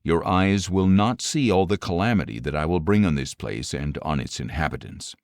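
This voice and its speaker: Low-pitched man reading scripture, dry studio. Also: animated, a little mellow, no room sound. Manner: measured, solemn, clearly phrased. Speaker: audiobook narrator of scripture. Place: close, dry studio recording.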